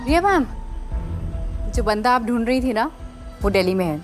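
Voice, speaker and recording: An actress playing an eager, polished and efficient assistant in an audio drama. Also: female voice, a little high, animated, moderate pace, faint music behind, lightly acted. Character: eager, efficient female assistant and sister to an heiress.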